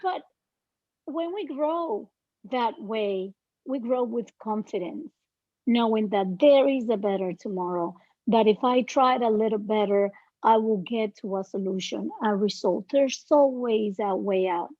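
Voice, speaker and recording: A woman from the Dominican Republic with a Dominican Spanish accent, a podcast guest who talks in an earnest, reflective way with many pauses and fillers. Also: on the high side, no echo, dull audio. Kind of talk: podcast interview.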